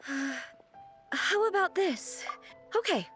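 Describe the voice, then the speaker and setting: A woman's voice: extremely high pitch, very animated, a bit bright, full character voice. An actress playing a reserved, earnest female deity and ruler in an anime-style video game, speaking formally and thoughtfully. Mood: hesitant, embarrassed. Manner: formal, measured, contemplative. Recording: music playing behind the voice.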